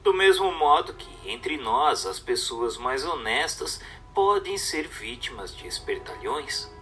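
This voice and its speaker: A man reads a book as a scripted narration, in a measured, didactic way. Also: medium pitch, sing-song, sharp tone. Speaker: audiobook narrator. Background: music.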